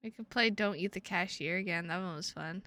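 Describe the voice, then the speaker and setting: A woman live streaming games, chatting casually with viewers in an excitable, sweary way and repeating her phrases for emphasis. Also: lowish voice, a little fast, no echo. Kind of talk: casual livestream chat with viewers.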